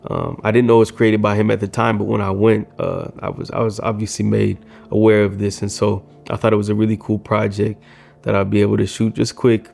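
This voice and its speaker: A man's voice: lowish voice, flat delivery, fairly quick, gravelly, a little mellow, faint music behind. A male filmmaker, a native Swahili speaker, talking in a casual vlog, thoughtful and candid as he critiques his own work.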